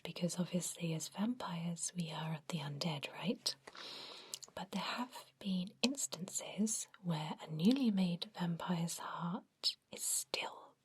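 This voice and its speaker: Slow, breathy whispering woman. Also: on the low side. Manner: soft, reassuring, unhurried whisper. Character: kindly, reassuring female vampire doctor. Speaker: ASMR performer playing a doctor. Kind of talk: whispered ASMR medical roleplay.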